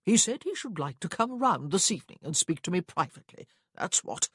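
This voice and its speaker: A woman's voice: on the low side, big pitch swings, a little slow, husky, dry recording, clean studio sound, full character voice. A woman voicing a fussy, anxious upper-class brother in an audiobook, with an earnest, blustering manner. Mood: nervous.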